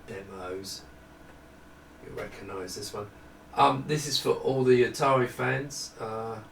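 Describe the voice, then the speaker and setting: A man's voice: medium pitch, vocal fry. A man giving a casual, unscripted hardware demo, rambling and thinking aloud in a self-deprecating way. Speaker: hardware designer demonstrating a product. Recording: roomy, distant mic, faint noise.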